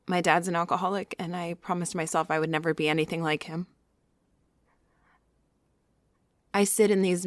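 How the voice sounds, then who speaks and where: A woman's voice: fairly low pitch, dry recording, close to an everyday voice. An actress playing an embarrassed, vulnerable young alcoholic woman, confiding hesitantly in an audio drama monologue. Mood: nervous, vulnerable. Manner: hesitant, confessional.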